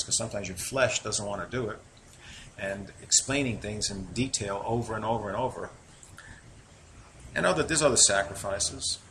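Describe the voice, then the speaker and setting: A man with a New York accent, reading Bible verses aloud and explaining them in a relaxed, conversational teaching style. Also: mid-range voice, slight background noise, low-quality recording. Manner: reads scripture aloud then comments conversationally.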